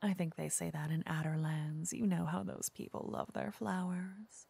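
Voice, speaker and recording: A woman playing a flirty, teasing witch in an ASMR roleplay, softly and often in whispers, intimate and coaxing. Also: on the low side, fairly even intonation, moderate pace. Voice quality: soft, breathy, often whispering. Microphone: close microphone.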